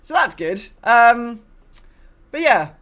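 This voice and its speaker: A woman's voice: a little high, sing-song, slow, fairly bright tone. A woman hosting a gaming podcast, chatting casually in a rambling, self-deprecating way. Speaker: podcast host.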